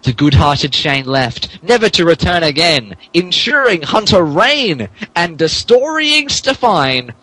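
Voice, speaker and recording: A man's voice: on the high side, big pitch swings, slow pace, few pauses, sharp tone, rough recording. A man narrating a comic dramatic reading in a mock-serious way, often stumbling over his words. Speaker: podcast host reading as narrator.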